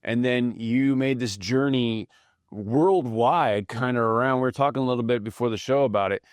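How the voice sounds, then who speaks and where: A man hosting a podcast from a studio, chatting in an upbeat, friendly way with quick bursts and restarts. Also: mid-range voice, clean tone, no room sound. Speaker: podcast host. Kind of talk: casual interview chat.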